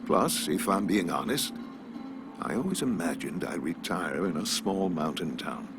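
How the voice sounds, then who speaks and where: A man playing a courteous, philosophical older small-town pharmacist in a video game, talking in a polite, measured, folksy way. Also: low-pitched, very animated, over music, full character voice. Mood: friendly, confiding. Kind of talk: video game character dialogue.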